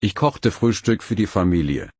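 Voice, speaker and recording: A man with a somewhat low voice and a husky, breathy edge reads practice phrases one at a time. He speaks slowly and evenly, pausing after each phrase. The sound is dry and close, with no room behind it. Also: no echo. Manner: slow, even, careful phrase-by-phrase reading. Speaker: phrase reader. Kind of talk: reading phrases for learners.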